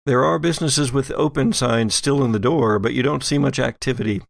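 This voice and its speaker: A man narrating a tabletop game as game master, setting scenes cinematically in deliberate short phrases. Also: medium pitch, creaky, no room sound, clean studio sound. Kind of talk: descriptive improvised narration for audio-drama tabletop game.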